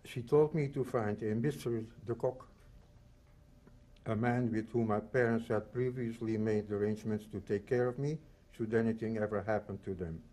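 A man in his eighties from the Netherlands and Belgium, reading a prepared personal testimony in measured, solemn short phrases. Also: on the low side, fairly even intonation, mellow. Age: 80s. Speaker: Holocaust survivor giving testimony.

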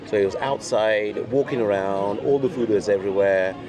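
A man's voice: on the low side, normal speed, crowd noise. A middle-aged man hosting a casual, chatty lunch conversation, repeating phrases for emphasis. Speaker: host, cigar retailer. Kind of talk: casual lunch chat on camera. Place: outdoor restaurant table by stone wall. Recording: music behind the talk.

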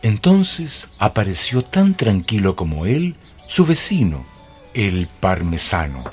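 A man narrating a scripted radio drama as a measured storyteller. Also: on the low side, wildly swooping pitch, darkish tone, husky, full character voice. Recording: music behind the voice.

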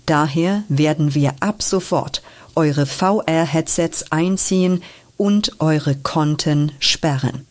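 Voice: medium pitch, lightly acted. Adult playing a formal school principal. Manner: formal, measured announcement. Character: school principal addressing students. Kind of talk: children's audio drama.

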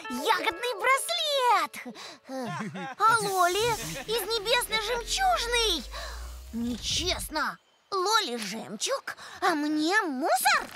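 An envious little cartoon monster girl with a tiny, very high voice. She exclaims and whines impulsively, swooping all over in pitch, and is good-hearted underneath. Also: big pitch swings, slow pace, heavy background noise, exaggerated voice. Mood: excited, jealous. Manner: exclamatory, whiny when hurt. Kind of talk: animated children's cartoon acting.

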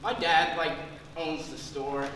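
A man's voice: fairly high pitch, steady tone, slow. A young man on stage playing a laid-back metalhead store worker with slangy slacker talk. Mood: bragging. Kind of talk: stage comedy acting. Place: school theater stage. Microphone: distant camera in the audience. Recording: echoey theater stage from far off, noisy.

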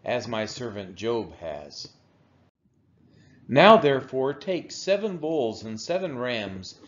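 A man reading scripture aloud in a measured devotional style, with deliberate, careful phrasing and frequent pauses. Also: mid-range voice. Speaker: scripture reader. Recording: slightly dull, lo-fi voice recording.

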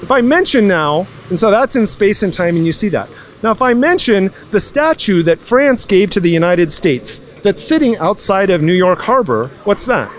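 A man's voice: high-pitched, big pitch swings, normal speed, slight background noise. A young man in a street interview at night outdoors, musing philosophically and asking rhetorical questions into a handheld mic. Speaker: passerby. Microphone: handheld mic held by interviewer.

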